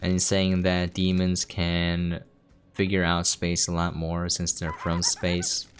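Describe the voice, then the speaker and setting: A man's voice: low voice, completely flat delivery, takes it slowly, clear, resonant tone. A man giving casual gameplay commentary, explaining and thinking aloud as he plays. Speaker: game streamer. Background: game music.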